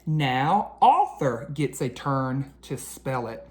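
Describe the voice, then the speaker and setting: A woman voicing a formal school principal in a storybook, announcing deliberately as judge of a spelling contest. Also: rumbling low, big pitch swings, a little mellow, heavily acted.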